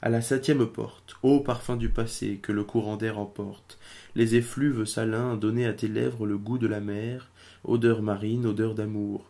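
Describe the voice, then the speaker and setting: Male amateur poetry reader: low, creaky, solemn and measured delivery. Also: level tone, warm tone.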